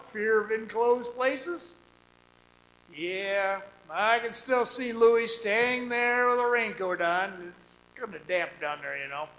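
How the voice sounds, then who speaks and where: An actor playing a cocky young mine guide in a radio drama, folksy and coaxing, moving between narration and dialogue. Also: male voice, very high voice, sharp tone, full character voice, poor audio. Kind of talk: old-time radio drama acting, part narration, part dialogue.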